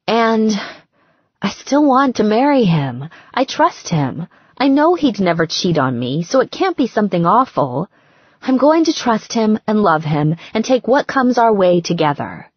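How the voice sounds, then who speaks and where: A woman's voice: mid-range voice, very animated, measured pace, husky, no echo, highs cut off, voice pushed up. A woman voicing a frantic, bossy, dramatic bride-to-be in an audiobook, emphatic and slangy. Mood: earnest, teasing. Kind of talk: audiobook character dialogue.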